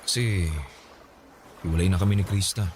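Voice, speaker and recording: A man's voice: low voice, normal speed, noisy background, close to an everyday voice. An actor playing a remorseful, lovelorn young man in a radio drama, pleading earnestly and hesitantly.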